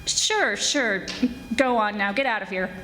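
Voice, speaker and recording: A woman playing a wisecracking, brisk private detective in 1940s radio style, wry and snappy. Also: medium pitch, sharp tone, slight background noise, low-quality recording. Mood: brisk, dismissive.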